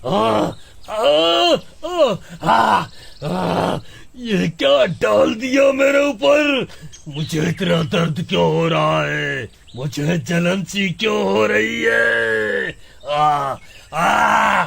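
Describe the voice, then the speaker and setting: A man playing a gloating, menacing demon in an animated horror-comedy story, with a gravelly, husky voice. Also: high-pitched, extremely slow, full character voice. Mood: agonized. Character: menacing male demon possessing a man's body.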